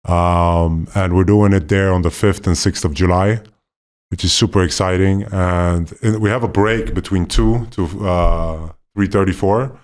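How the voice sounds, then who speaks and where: Laid-back lower-voiced man chatting. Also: unhurried. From Poland. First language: not Polish; left Poland at five. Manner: relaxed, rambling, enthusiastic. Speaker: podcast co-host, former basketball player. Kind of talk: casual podcast conversation.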